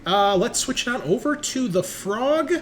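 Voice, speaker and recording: A man's voice: extremely high pitch, expressive, a little slow. A man hosting a tabletop roleplaying show, chatting in a casual, informal and jokey way as he introduces things. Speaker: host and game master of a tabletop roleplaying show. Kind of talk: casual podcast introduction and banter. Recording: clearly noisy background.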